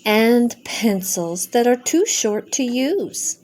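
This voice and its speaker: A woman's voice: fairly high pitch, animated, slow, a little mellow. A woman voicing an earnest, sentimental, slightly silly male owl in a children's storybook, with plaintive, simple phrasing. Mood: sad, tearful. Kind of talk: children's storybook character reading.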